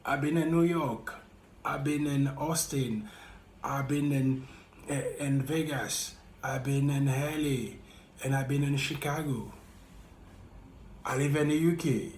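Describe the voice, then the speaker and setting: A man with a French accent speaking earnestly and haltingly to camera as a YouTuber, repeating himself as he reaches out to viewers. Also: mid-range voice, a little mellow, roomy sound, a little hiss or hum. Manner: slow, earnest, halting and repetitive. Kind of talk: heartfelt direct-to-camera talk.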